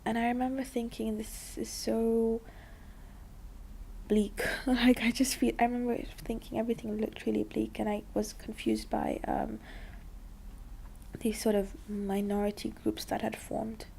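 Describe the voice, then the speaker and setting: A woman's voice: on the high side, completely flat delivery, a little slow, gravelly, darkish tone, a little hiss or hum. A young woman from Dubai, talking casually in an interview, full of likes and you-knows. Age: young adult.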